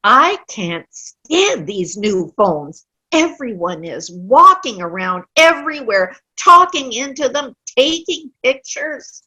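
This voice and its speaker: A cranky elderly widow in a stage comedy reading, acted by a woman. Her voice is high, sharp and very animated. She complains bluntly, stubborn and dismissive, at an unhurried pace. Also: slightly rough, exaggerated voice, low-quality recording. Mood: scornful. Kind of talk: amateur play reading. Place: online video-call play reading.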